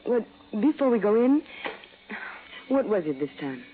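Actress as a flirtatious married woman, animated pitch, coy and playful, vintage radio drama, tinny narrow-band recording. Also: moderate pace, a little hiss or hum, close to an everyday voice. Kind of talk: 1960s radio drama acting. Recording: muffled old radio broadcast, phone-like band.

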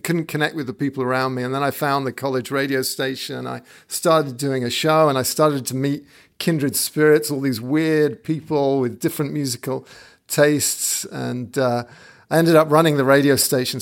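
A man raised in England, hosting a podcast interview in a casual, halting way full of fillers and restarts. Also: medium pitch, fairly even intonation, gravelly. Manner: hesitant, many fillers and restarts. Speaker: podcast host.

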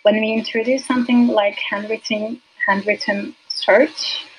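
A woman whose first language is Turkish, a UX designer as a podcast guest, talking hesitantly with many fillers and soft laughs. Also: a little high, fairly even intonation, a little slow, slightly rough, rough recording. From Turkey. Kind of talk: casual interview.

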